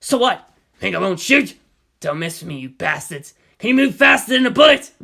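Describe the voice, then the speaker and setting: A man voicing a panicking, aggressive young male robber in a story reading, shouting and blustering. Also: high-pitched, sing-song, normal speed, vocal fry, pitched above the performer's own voice, full character voice. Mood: desperate bluster.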